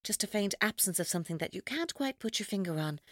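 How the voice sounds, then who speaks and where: An actress playing a controlling, taunting female captor in an audio drama, speaking in a deliberate, coaxing way. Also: medium pitch, expressive, dry recording, studio quality, close to an everyday voice. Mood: mocking, airy. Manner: deliberate, coaxing, coldly mocking. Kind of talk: audio drama acting, monologue-like.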